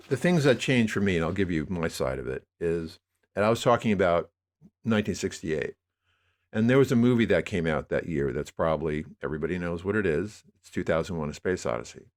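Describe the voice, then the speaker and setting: An older American man talking reflectively as an interview guest, in a halting, rambling way that circles back on his phrases. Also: low-pitched. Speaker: interview guest, media producer.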